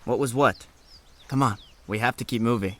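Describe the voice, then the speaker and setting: A man's voice: mid-range voice, moderate pace, lightly acted. A man playing an earnest, devoted young student in an audio drama. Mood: sincere, easygoing. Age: young adult.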